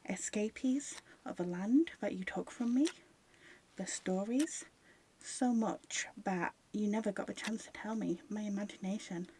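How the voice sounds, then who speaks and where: Woman as a mellow, sombre grieving wartime cook. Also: mid-range voice, slight background noise, close to an everyday voice, rough recording. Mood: haunted, bitter. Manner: sombre, deliberate. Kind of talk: poetic diary monologue in a radio play.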